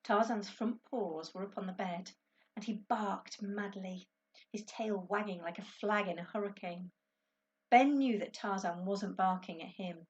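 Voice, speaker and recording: A woman's voice: mid-range voice, a little slow, a little mellow, breathy edge, lo-fi sound. A woman teacher reading a children's story aloud expressively to her class.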